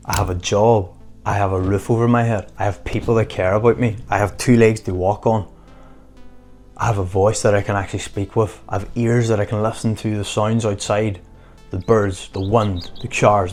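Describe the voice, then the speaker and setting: A man giving an earnest motivational talk to camera in short, emphatic phrases. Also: fairly low pitch. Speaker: motivational vlogger.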